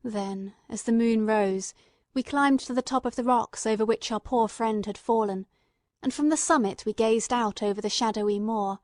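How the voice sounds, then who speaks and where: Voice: medium pitch, dry recording, studio quality, natural voice. A performer playing an earnest, emotional male companion who narrates a radio mystery in a literary, fervent manner. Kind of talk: dramatic scripted narration, old-time radio mystery.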